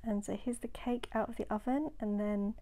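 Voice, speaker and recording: Woman, nasal with a cold, mellow voice, chatty baking voice-over, soft whispered asides. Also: medium pitch, fairly even intonation, moderate pace. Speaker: home baker.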